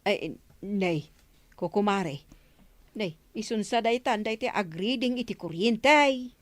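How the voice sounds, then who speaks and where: A woman's voice: medium pitch, expressive, takes it slowly, dry recording. A woman playing a chatty, lovelorn single villager in an Ilocano audio drama, with an Ilocano accent. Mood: casual. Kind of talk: Ilocano radio-style audio drama acting.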